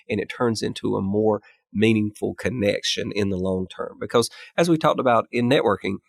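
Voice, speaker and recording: A man co-hosting a podcast, talking in a relaxed, explanatory way while getting over a chest cold that leaves his voice scratchy. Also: lowish voice, gravelly, no room sound, clean studio sound. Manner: conversational, explanatory, laughs easily. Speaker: podcast co-host. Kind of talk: casual podcast chat.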